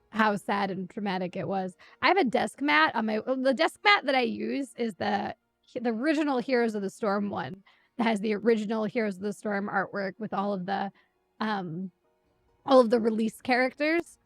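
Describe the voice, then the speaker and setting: A young woman chatting casually on a game stream, wry, animated and sweary, close on the mic. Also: medium pitch, normal speed, gravelly, dry recording, soft background music. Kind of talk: casual live stream chat while gaming.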